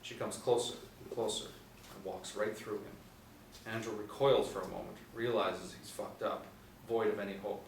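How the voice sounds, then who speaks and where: A man's voice: lowish voice, steady tone, normal speed, gravelly. A man in his forties reading stage directions as scripted narration at a table read. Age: 40s. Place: small indoor room. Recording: echoey room, distant mic, background noise.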